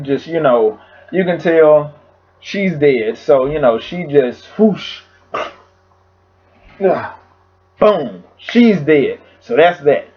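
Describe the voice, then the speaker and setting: Hoarse man rambling through a recap. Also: fairly high pitch, muffled recording. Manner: rambling, filler-heavy storytelling. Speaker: YouTube reviewer. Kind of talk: casual episode recap. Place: home.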